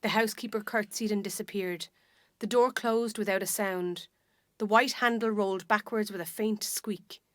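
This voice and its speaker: A woman's voice: medium pitch, fairly even intonation, normal speed, no room sound. Irish woman narrator, close and dry. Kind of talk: dramatic literary story narration.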